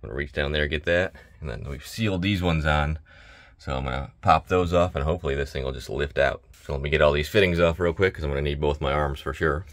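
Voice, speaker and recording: A man in his thirties working on a boat, explaining each repair step in a relaxed, casual way. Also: a bass voice, quick pace. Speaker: boat mechanic. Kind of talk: casual how-to narration while working. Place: inside a boat cabin.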